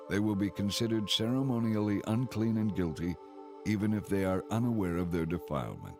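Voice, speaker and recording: A man narrating scripture from a script in a solemn, measured, formal way. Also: deep voice. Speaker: audiobook narrator.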